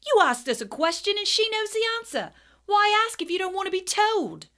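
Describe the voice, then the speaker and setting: A woman voicing a teenage schoolboy who speaks loudly and indignantly. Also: extremely high pitch, big pitch swings, moderate pace, pitched above the performer's own voice, heavily acted. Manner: loud and outraged.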